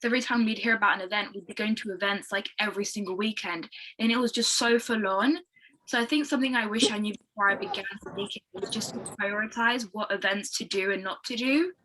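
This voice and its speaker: A woman's voice: medium pitch, fast, sharp tone, slight background noise, low-quality recording. A fifteen-year-old girl with a London British accent, chatting enthusiastically as a podcast guest. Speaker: podcast guest, young motivational speaker. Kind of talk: casual interview chat.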